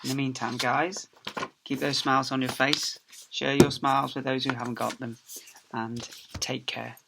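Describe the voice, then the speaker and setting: A man who is a teacher reading a story aloud to children, with animated, theatrical emphasis. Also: mid-range voice, moderate pace, vocal fry, heavy background noise. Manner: theatrical emphasis with acted sound effects.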